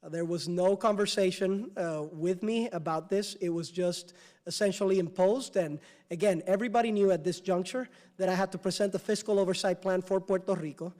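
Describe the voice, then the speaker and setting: A man testifying as governor at a hearing, answering questions in a halting way with many fillers and restarts. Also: sits high, a little mellow. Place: congressional hearing room.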